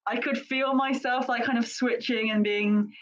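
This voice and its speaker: A woman's voice: a little high, steady tone, moderate pace. Woman co-host, rambling, filler-heavy chat, slightly dull audio. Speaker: podcast co-host. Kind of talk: casual panel chat.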